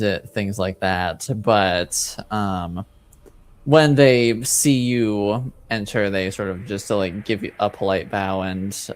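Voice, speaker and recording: A man narrates a tabletop game in a smooth, lowish voice. He speaks very slowly, improvising as game master and often halting as he searches for the next word.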